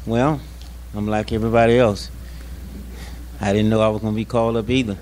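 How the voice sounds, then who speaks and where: A man's voice: on the low side, flat delivery, fairly quick, a little mellow, slight background noise. An older man with an American accent speaks unscripted at a commemorative event, telling personal stories in a plainspoken, folksy way like an imam addressing his community. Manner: plainspoken, folksy storytelling with acted-out quotes. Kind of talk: unscripted public speech with personal anecdotes.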